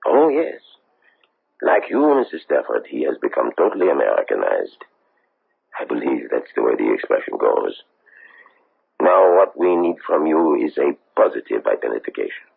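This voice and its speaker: A grave foreign agent in an old radio play, super low and gravelly, formal, pleading for justice. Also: male voice, sing-song, full character voice. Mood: measured, dry. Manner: formal, deliberate, pleading phrasing. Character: solemn, insistent foreign government investigator seeking justice. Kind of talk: 1970s radio drama acting. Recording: muffled tinny old radio broadcast.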